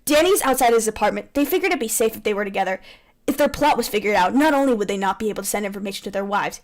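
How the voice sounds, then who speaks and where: A young woman hosting, who reads her own fanfiction aloud to friends with lively asides. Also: medium pitch, very fast. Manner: animated, breaks off into laughter and asides. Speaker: host reading fanfiction aloud. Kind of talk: comedic reading with friends.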